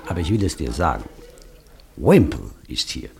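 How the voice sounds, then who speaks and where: An actor playing an effusive, courteous gentleman host who adores everything modern, in a radio drama. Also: very deep voice, moderate pace, creaky, a crowd in the background, heavily acted. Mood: amused admiration. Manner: gushing, excitable, courteous.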